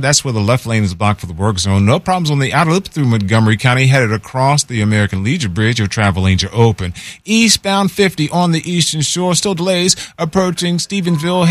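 A man delivering a brisk radio traffic report, rattling off road conditions lane by lane. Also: a little high, a bit bright, dry recording.

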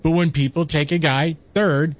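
A man's voice: on the high side, steady tone, moderate pace. A man with a nasal voice giving opinionated takes on sports radio, talking in long, rambling sentences. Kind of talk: casual sports talk.

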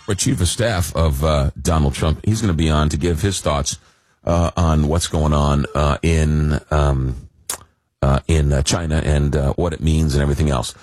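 A man with a very deep, husky voice hosts a call-in radio show, a little hoarse from a cold. He rambles casually, full of "uh"s, with a dry sense of humor. The studio sound is close and dead, with no room echo. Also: steady tone, moderate pace, darkish tone, faint music behind. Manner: rambling, many fillers, dry joking. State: fighting a cold, losing his voice. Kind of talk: casual late-night call-in radio talk.

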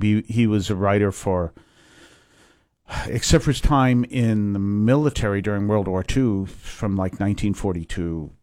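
A low-voiced man in his fifties from Massachusetts speaks as a priest in a radio interview. He wanders through his answers with lots of pauses and restarts. The sound is close and dry. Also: a little mellow, no echo. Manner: rambling, with many fillers and restarts. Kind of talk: conversational interview.

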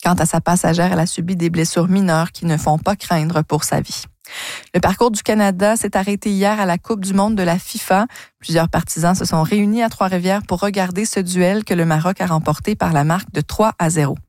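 A woman reading a radio newscast in a studio, measured and formal. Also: lowish voice, fairly even intonation, a little mellow, no room sound. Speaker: news anchor.

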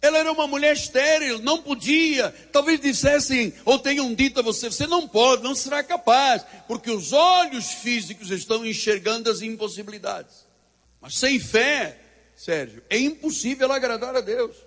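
A man's voice: extremely high pitch, animated, moderate pace, a bit bright, big-room sound. A man with a Portuguese accent, preaching a fervent sermon and telling a personal story. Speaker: pastor.

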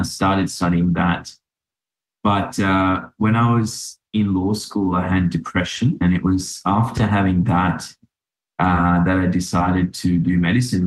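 A man's voice: deep voice, roomy sound, low-quality recording. A man from Sri Lanka, a doctor, giving reflective interview answers with many fillers and restarts. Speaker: podcast guest, doctor.

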